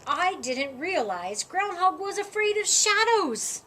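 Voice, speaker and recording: Woman as a bright, eager little squirrel. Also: very high voice, big pitch swings, slow, slight background noise, voice pushed up, full character voice. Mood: keen.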